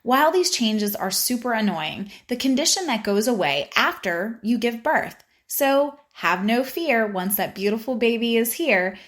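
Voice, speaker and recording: A woman with a Spanish accent hosting a podcast, explaining health topics in an upbeat way with playful asides. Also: mid-range voice. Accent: Spanish-accented English. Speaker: podcast host. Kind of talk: informative podcast monologue.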